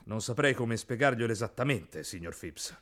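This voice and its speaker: A man's voice: medium pitch, animated, normal speed, a bit bright, natural voice. An actor playing a deferential, evasive factory manager in a comic radio play, a man who delivers bad news. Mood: meek, hesitant. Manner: deferential, hesitant, then reeling off lists emphatically.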